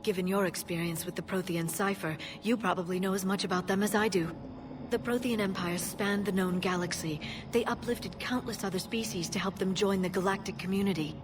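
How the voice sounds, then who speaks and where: A woman voicing a composed, scholarly alien scientist in a video game, speaking in a measured, articulate and earnest way. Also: mid-range voice, steady tone, noisy background, natural voice. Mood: earnest, fascinated. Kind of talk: video game cutscene acting.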